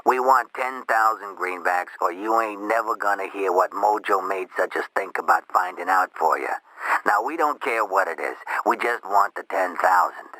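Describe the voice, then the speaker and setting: Bright, menacing street-crook kidnapper. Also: male voice, low voice, no echo, low-quality recording. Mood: taunting menace. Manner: slangy, threatening, drawn-out taunting. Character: menacing, taunting street-crook kidnapper making ransom demands. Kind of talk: video game voice acting.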